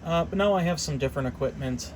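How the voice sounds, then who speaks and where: Halting man demonstrating gear over background noise. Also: a little high. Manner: halting, thinking aloud as he goes. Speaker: hobbyist repairer demonstrating test equipment. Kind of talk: unscripted equipment demonstration, casual explanation. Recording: clear steady hum of background noise.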